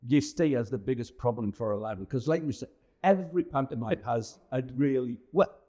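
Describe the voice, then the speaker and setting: A male interviewer with a soft, dark timbre. His pitch is average and his questions come in an informal, halting, chatty manner. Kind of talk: casual interview chat.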